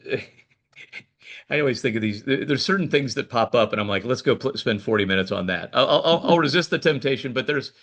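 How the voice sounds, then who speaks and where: A man's voice: mid-range voice, lively intonation, a little fast, a bit bright. A man hosting a podcast interview, chatting casually and rambling with many restarts. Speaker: podcast host.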